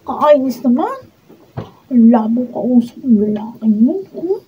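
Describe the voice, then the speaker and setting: A woman's voice: a little high, lively intonation, measured pace. A woman acting a bratty, childish young girlfriend in a Filipino radio drama, with a whiny, nagging manner and a Filipino accent. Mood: irritated. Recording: dull lo-fi room recording with clear noise.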